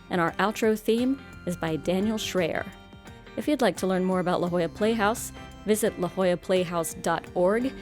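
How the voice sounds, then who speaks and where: A woman's voice: medium pitch, a little mellow. A woman reading a scripted outro as host of a horror audio show, in an articulate, theatrical announcing manner. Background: music.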